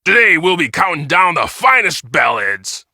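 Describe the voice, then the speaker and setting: Gravelly, blaring pirate radio DJ. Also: male voice, a little high, very animated, moderate pace, sharp tone, no echo, studio quality, full character voice. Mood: bombastic. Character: bombastic male pirate radio DJ, showy. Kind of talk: radio show announcing.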